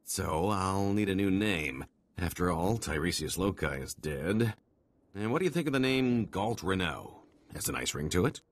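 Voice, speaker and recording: A slippery, boastful wheeler-dealer on the run from a video game. His voice is deep and husky, and it swings widely as he pours on theatrical, wheedling patter like a born salesman. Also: big pitch swings, normal speed, full character voice. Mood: upbeat, slick. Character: slippery middle-aged male con-artist fugitive, boastful wheeler-dealer.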